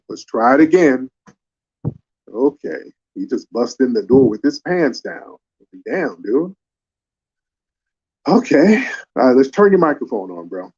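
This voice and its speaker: Man, host, call-in panel, brisk, bossy, mid pitch, muffled lo-fi audio. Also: a little mellow, breathy edge. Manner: brisk, calls people up and scolds them to slow down. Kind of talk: casual moderating.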